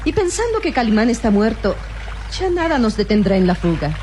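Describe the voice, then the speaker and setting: A woman's voice: a little high, big pitch swings, fairly quick, a loud crowd. An actress playing a scheming young woman accomplice who defers to her partner in a radio drama. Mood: resolved. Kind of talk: 1960s Mexican radio drama acting.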